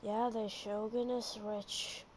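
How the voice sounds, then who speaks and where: High-voiced woman game streamer. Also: flat delivery, slow, slight background noise. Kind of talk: casual gameplay commentary. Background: game music.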